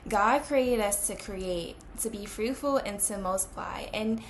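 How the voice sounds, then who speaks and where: A woman's voice: mid-range voice, measured pace, slight background noise. A woman of twenty talking casually to the camera as a vlogger, with many fillers. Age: 20s.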